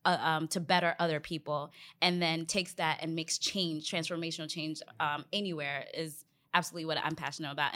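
A woman from Ghana who grew up in Virginia speaks as a podcast guest. Her voice sits fairly low for a woman, with a bright, forceful edge, and she explains things earnestly in a conversational way. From born in Ghana, grew up in Northern Virginia, USA. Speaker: podcast guest, company co-founder.

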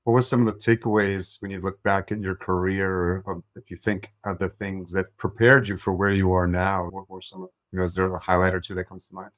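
Low-voiced male podcast host. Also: flat delivery, fairly quick, few pauses, fairly bright tone, no room sound. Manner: casual, many "like" fillers. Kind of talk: interview questions.

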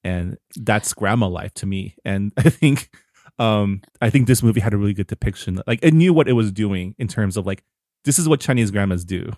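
A man's voice: fairly low pitch, normal speed, darkish tone, dry recording, studio quality. A man co-hosting a podcast, talking casually about a film with a laid-back, chuckling manner. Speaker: podcast co-host.

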